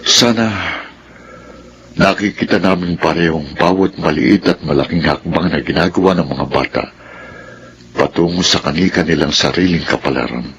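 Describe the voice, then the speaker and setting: An ageing Filipino widower in a radio drama, with a very deep voice. He is reflective and wistful, full of quiet self-doubt. Faint noise sits behind him. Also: moderate pace, dull audio. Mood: wistful, worried.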